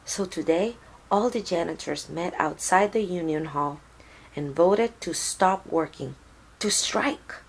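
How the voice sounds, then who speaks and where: A woman voicing a tender, hardworking mother who speaks gently and earnestly to her child in a storybook. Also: fairly low pitch, takes it slowly, creaky, slightly rough, exaggerated voice, poor audio. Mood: earnest, weary. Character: tender, hardworking Mexican mother of a young boy.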